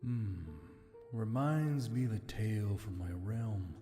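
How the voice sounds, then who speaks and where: A man's voice: lowish voice, expressive, slow pace, dark tone. A man playing an ancient, aloof spirit bound in a torque, speaking in formal, archaic storytelling phrases for an audiobook. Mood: musing, narrating. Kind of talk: scripted audiobook character acting. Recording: long reverb, music behind.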